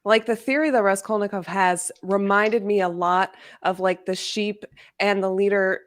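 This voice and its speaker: A woman with a medium-pitched voice talks casually about books. Her manner is informal and animated, given to exclamations, as in a relaxed discussion between readers. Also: normal speed. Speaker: guest discussing a novel in a readalong livestream.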